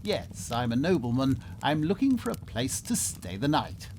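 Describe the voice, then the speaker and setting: An actor playing a polite, excitable Victorian nobleman in a comedy radio play, with a theatrical, pompous and well-mannered delivery. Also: medium pitch, normal speed. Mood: polite, genial. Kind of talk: melodramatic first-person radio theatre narration and acting. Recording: noisy ambience behind the voice.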